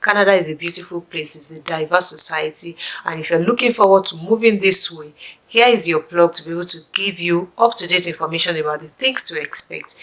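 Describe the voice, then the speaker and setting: Nigerian woman with a low voice. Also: fairly quick, a bit bright, low-quality recording. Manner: chatty, musing, with asides and restarts. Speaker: vlogger for immigrants. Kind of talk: casual chat to camera.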